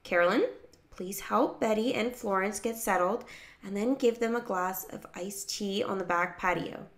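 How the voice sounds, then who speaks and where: A woman's voice: medium pitch, normal speed, close to an everyday voice. A woman voicing an exasperated, stern mother of a big household in a children's audiobook, speaking emphatically.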